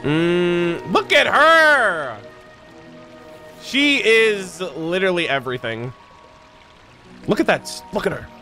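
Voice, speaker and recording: A young man reacting to a game as a streamer, expressive, slangy and casual, close on the mic. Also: high-pitched, takes it slowly, long pauses, faint music behind. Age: young adult. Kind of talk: excited casual gameplay reaction. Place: small room at home, indoors. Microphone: close microphone on boom arm.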